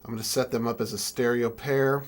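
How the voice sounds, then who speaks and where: A young adult man giving an informal hands-on tutorial, speaking haltingly as he thinks aloud while working. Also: medium pitch, steady tone. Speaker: music keyboard tutorial presenter. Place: indoor room with draped backdrop.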